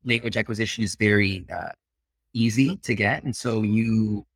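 A Peruvian-born man in his thirties, speaking as an interview guest. He talks in a reflective, earnest way at a middling pitch and now and then drops into Spanish. The recording is dry and close. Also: steady tone, normal speed, gravelly, fairly bright tone, slightly rough, dry recording. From Peru. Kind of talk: casual interview conversation.

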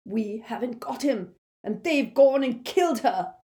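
A woman's voice: medium pitch, animated, unhurried, pitched above the performer's own voice. A woman voicing a brutish, hot-tempered male wizard thug who talks in coarse dialect. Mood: ranting. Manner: loud, coarse dialect speech.

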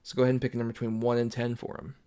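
A man's voice: medium pitch, completely flat delivery, very fast, dark tone, smooth. A man co-hosting a casual movie podcast with playful, jokey banter. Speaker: podcast co-host.

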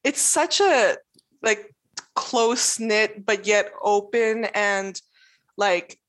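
A woman chatting casually in an interview, talking in quick bursts full of "like" and laughing often. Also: medium pitch, fairly bright tone. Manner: chatty, fills speech with "like". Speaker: interviewee, new employee. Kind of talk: casual conversation.

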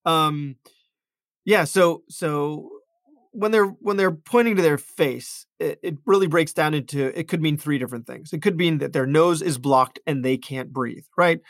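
A man's voice: fairly high pitch, measured pace, dry recording, clean studio sound. A man speaking as an ear, nose and throat doctor, talking casually about patient cases and often repeating words as he thinks aloud. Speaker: ENT physician.

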